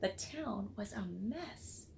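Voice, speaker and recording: A woman's voice: mid-range voice, animated, slow, creaky, a little mellow, slight background noise. A woman reading a children's storybook aloud expressively, with chatty asides to listeners. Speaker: read-aloud book club reader.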